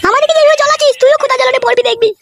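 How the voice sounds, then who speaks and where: A jealous village wife in a comic cartoon. Her voice is extremely high and squeaky, rattling out sarcastic nagging at very high speed. Every phrase is shrill and quarrelsome. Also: fairly even intonation, racing, vocal fry, music in the background. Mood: bitter complaint.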